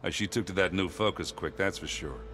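An actor playing a gruff, good-hearted, self-deprecating soldier in a video game, talking in a hesitant, joking but sincere blokey way. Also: male voice, low-pitched, brisk, pushed and bright, slight background noise. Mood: jovial. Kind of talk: video game dialogue acting. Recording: prominent music behind the voice.

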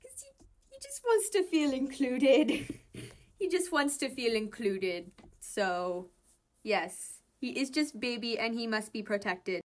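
A woman with a somewhat high voice talks in a casual, chatty way. She rambles through her thoughts in a gushing, giggly manner, as a host reacting to fan comics. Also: expressive, slow. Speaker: host reading fan comic dubs and commenting.

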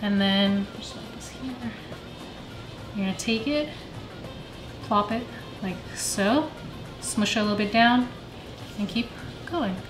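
A woman calmly explaining a dessert recipe step by step in a casual home cooking video. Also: mid-range voice, slow pace, long pauses. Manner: calm step-by-step explaining with fillers. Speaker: home cook guest. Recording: echoey room, faint music.

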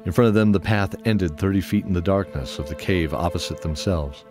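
A man's voice: low voice, a little fast. A man giving scripted narration for a fantasy audio drama. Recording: music under the voice. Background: music.

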